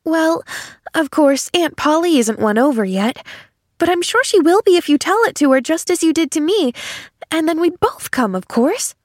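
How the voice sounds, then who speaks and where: A woman voicing a bright, innocent young girl who gushes with eager joy in an audiobook. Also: high voice, animated, moderate pace, no echo, clean studio sound, pitched above the performer's own voice. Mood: earnest, eager. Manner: gushing, breathless enthusiasm. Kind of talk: audiobook character acting.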